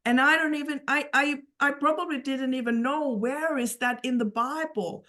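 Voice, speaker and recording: A woman from Finland with a Finnish accent, giving an earnest personal testimony as an interview guest, in halting phrases with repeated words. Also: high-pitched, sharp tone.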